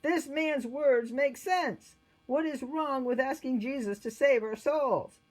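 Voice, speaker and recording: A woman's voice: sits high, slow, voice pushed up. A woman voicing a fierce, imperious Roman emperor with a commanding, exclaiming manner in a story reading. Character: fierce, imperious Roman emperor, adult man, quick to anger.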